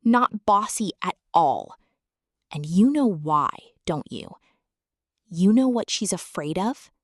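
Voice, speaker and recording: A woman reading a children's story aloud, expressive and sing-song. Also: on the low side, slow pace, dry recording, studio quality.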